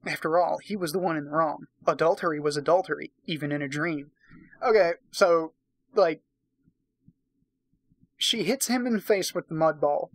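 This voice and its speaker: A man's voice: fairly high pitch, moderate pace, long pauses, no room sound. A tipsy man hosting a casual comic read-aloud, narrating and breaking off for sweary, sarcastic commentary. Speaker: host, narrator.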